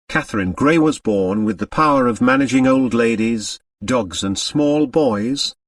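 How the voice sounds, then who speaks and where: Male audiobook narrator: expressive scripted storytelling, very varied intonation, dry sound slightly off the mic. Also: mid-range voice, dry recording, distant mic.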